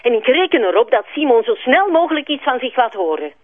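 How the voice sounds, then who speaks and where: A woman's voice: high voice, big pitch swings, fast talker, no echo. An actress with a Flemish accent playing an anxious, urgent middle-aged mother on the telephone in a radio drama. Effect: telephone filter. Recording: thin, narrow telephone-like sound.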